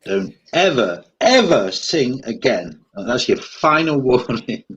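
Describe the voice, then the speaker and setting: A man's voice: medium pitch, sing-song, takes it slowly, a bit bright, husky. Man joking with a dull lo-fi sound. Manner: teasing, joking, reads chat aloud. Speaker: co-host of live chat stream. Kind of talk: casual live-stream banter.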